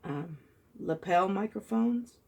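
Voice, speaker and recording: A woman with a hoarse, froggy voice chatting softly as she pours and tilts acrylic paint, recorded on a phone mounted above her table. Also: mid-range voice, animated, slow pace, low-quality recording. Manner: soft-spoken, chatty, muttering while working. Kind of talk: casual narration while painting. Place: indoor craft table. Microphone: phone held high above the table.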